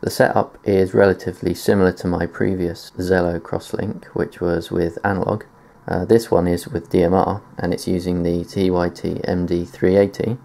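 Guy explaining gadgets casually, deep voice, pretty monotone, matter of fact. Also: normal speed, vocal fry, few pauses. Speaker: video presenter demonstrating radio gear. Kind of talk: casual tech demonstration. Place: indoors at a desk.

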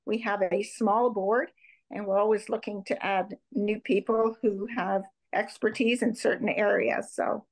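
A woman's voice: mid-range voice, steady tone, measured pace, low-quality recording. An older woman from Montreal speaking as a guest presenter and organization co-founder over a video call. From Montreal, Canada. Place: home room indoors.

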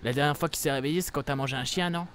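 A young man improvising roleplay chat in a hesitant, halting way, on a close streaming mic. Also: fairly high pitch, crowd noise. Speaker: livestreamer playing a roleplay game.

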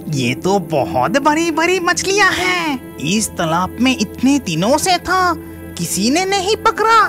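A woman voicing a sly, boastful village fish thief in a children's cartoon, drawn out and scheming. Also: medium pitch. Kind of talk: Hindi children's cartoon dubbing. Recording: music behind the voice.

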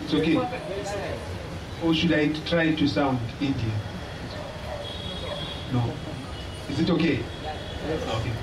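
Young zimbabwean guy explaining drums on stage, slow and pausey, heard from far off with hall noise. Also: a little high. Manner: unhurried, pausing often, friendly and plain. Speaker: drummer giving a lecture-demonstration. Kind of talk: halting live teaching talk. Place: small indoor stage hall. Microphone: stand mic, recorded from a far camera.

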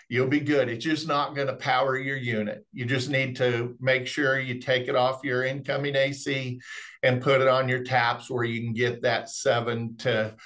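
A man's voice: mid-range voice, fairly bright tone. A middle-aged man presenting webinar technical training in a folksy, explanatory way. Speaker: remote monitoring specialist presenting product training. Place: indoors, on a video call.

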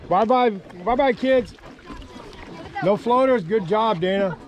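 High-voiced man teasing anglers. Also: slow pace, slight background noise. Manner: teasing, joking. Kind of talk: casual banter. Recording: outdoor dock with crowd chatter.

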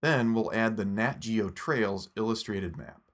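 Man narrating a steady app tutorial. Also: fairly low pitch, a little slow. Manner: steady, instructive step-by-step delivery. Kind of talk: scripted screen-recording tutorial narration.

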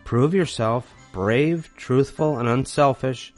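Man voicing a slow, gentle storybook fairy. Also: medium pitch, faint music behind, voice pushed up, natural voice. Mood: gentle, solemn. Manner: gentle, solemn. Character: gentle, solemn female blue fairy. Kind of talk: storybook character voice.

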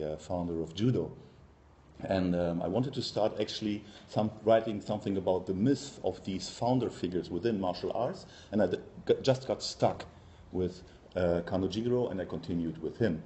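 A man in his forties gives an academic talk in English with a German accent. His voice is fairly low, with a dark, relaxed tone. He speaks haltingly, pausing often as he builds each sentence. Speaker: university professor, conference speaker. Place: lecture room, indoors.

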